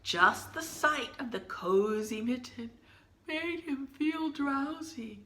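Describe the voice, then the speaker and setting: Slow, bright-voiced woman reading a picture book. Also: sits high, reverberant, a little hiss or hum. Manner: gentle, drops to hushed asides about the pictures. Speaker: teacher reading aloud. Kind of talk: children's picture book read-aloud.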